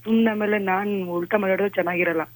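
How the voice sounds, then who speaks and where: A woman speaks very quickly at an average pitch. She argues over the telephone, curt and impatient, scolding the person on the other end. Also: steady tone. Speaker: prank call recipient. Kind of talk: argumentative phone conversation. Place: on a telephone call.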